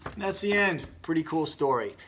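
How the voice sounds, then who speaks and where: Man, story reader and host, slightly high voice, lively intonation, informal. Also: moderate pace, slight background noise. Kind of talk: animated children's read-aloud, then casual chat.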